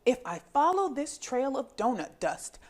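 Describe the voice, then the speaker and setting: A woman voicing a cheerful, eager female dog detective from a storybook, with exclamatory, deliberate delivery. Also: high voice, big pitch swings, a little mellow, exaggerated voice. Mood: determined.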